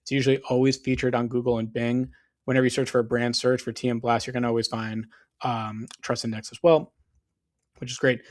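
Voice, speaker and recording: A man narrates a screen-share walkthrough in a loose, conversational way, stopping often for ums and restarts. His voice is starting to go hoarse, and he clears his throat now and then. He sits at a middling pitch for a man. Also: level tone, fairly quick. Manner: casual, explanatory, frequent fillers and restarts. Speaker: SEO business owner.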